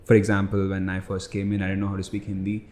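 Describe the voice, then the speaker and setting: A man's voice: deep voice, monotone, quick pace, darkish tone. A young man who grew up in India, a startup founder guesting on a podcast, telling loose reflective stories with lots of "like" and "you know". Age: young adult. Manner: reflective, loose storytelling with many fillers. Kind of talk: casual podcast conversation. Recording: roomy echo.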